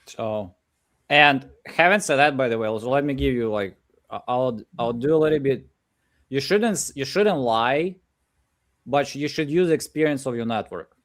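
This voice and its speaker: Hombre con acento ucraniano, motivador. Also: male voice, medium pitch, moderate pace. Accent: Ukrainian. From Ukraine. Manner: energetic, pep-talk delivery with many "like" and "again" fillers. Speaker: coach. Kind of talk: live coaching session. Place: home office in Ukraine. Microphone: video call.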